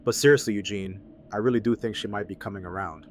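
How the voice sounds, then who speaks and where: Man playing low-voiced, laid-back game master. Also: fast talker, a little hiss or hum, natural voice. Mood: friendly, curious. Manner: casual, slangy. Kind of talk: audio drama acting.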